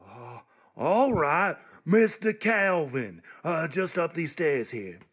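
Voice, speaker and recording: A man's voice: a little high, sing-song, slow, a bit bright, slightly rough, full character voice. A man playing a naive, helpful country youngster with a hesitant, folksy way of talking in a live comic radio play. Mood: bashful, friendly.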